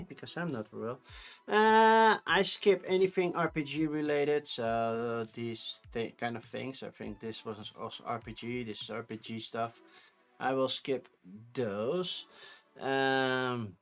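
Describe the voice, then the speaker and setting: A man's voice: medium pitch, slow, faint music behind. A man in his thirties with a Dutch accent, rambling casually on a live stream. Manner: rambling, chatty, with frequent restarts and laughs.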